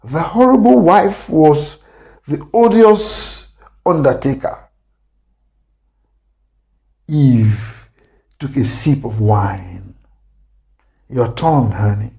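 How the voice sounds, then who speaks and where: Very slow, mellow male storyteller. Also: on the high side, expressive, vocal fry. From Africa. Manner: deliberate, pausing mid-sentence. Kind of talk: scripted dramatic narration.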